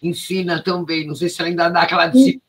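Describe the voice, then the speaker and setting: A Brazilian man moderating an online academic talk, chatty and quick in his bursts of speech. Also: a little high, noisy background. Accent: Brazilian Portuguese. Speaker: event moderator. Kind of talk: casual moderating on a video call.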